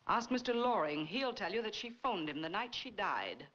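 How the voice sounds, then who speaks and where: A woman's voice: medium pitch, very animated, normal speed, a bit bright. An American actress playing a stern, bitterly accusing middle-aged woman, severe and pointed, in a 1940s noir film. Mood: cold, accusing. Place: large living room film set. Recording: muffled, noisy 1940s film soundtrack.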